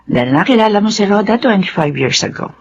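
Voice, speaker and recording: Doting, animated Filipina mother. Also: medium pitch, fast talker, dull audio. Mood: fond, chatty. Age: middle-aged. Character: doting middle-aged Filipina single mother, chatty and content. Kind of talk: radio drama acting.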